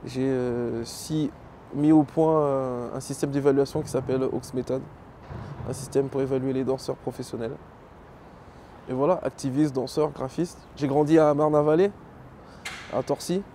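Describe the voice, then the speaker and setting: A man's voice: medium pitch, normal speed, slight background noise. A middle-aged man from Belgium with a Congolese family, a professional dancer, telling his own story casually in an interview, with lots of fillers. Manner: relaxed, many fillers, lists names. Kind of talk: casual interview, personal history.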